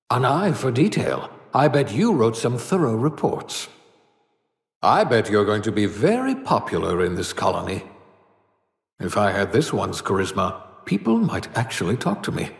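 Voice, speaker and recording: An actor playing an eccentric older fugitive scientist in a video game, wry and condescending, muttering theatrically to himself. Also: male voice, lowish voice, gravelly, reverberant, long reverb tail. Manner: muttering to himself, dryly sardonic, swooping emphasis.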